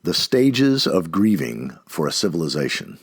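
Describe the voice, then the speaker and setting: A man reading an essay aloud like an audiobook narrator, expressively and with deliberate, emphatic phrasing. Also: sits low, sing-song, breathy edge, dry recording, clean studio sound.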